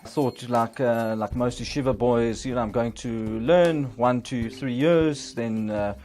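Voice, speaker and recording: A man's voice: medium pitch, unhurried, darkish tone, faint music behind. A young man from Johannesburg, a teacher trainee speaking thoughtfully with hesitant fillers in a testimonial interview. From Johannesburg, South Africa.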